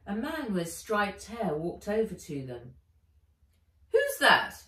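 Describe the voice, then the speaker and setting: A woman narrates a children's story with dramatic emphasis. Her pitch is very lively and her pace unhurried. She is a little distant from the mic in an echoey room. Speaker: author reading own book aloud. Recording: roomy echo, distant mic.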